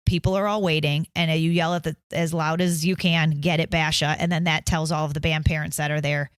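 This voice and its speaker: Chatty woman with a low voice. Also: flat delivery, brisk, clear, resonant tone, dry recording. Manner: chatty, candid storytelling. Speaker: guest, band mom of a senior. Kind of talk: casual podcast chat.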